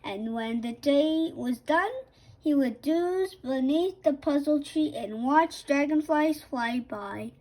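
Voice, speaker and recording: A woman reading a picture book aloud for children, in a slightly halting way. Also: high voice, darkish tone, rough recording. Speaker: children's story reader. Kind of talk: scripted storybook narration for children.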